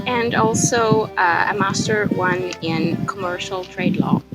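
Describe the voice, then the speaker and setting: Slow, hesitant young woman. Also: fairly high pitch, poor audio. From Greece. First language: Greek. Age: 20s. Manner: hesitant, halting, many fillers. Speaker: interview guest. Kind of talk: casual interview answers.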